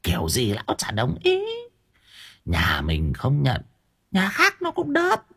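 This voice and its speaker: A man voicing a sharp-tongued, scheming village wife who nags her husband and plots in low, coaxing tones. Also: wildly swooping pitch, slow pace, slightly rough, heavily acted. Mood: conspiratorial. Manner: nagging, conspiratorial, whispers and cajoles.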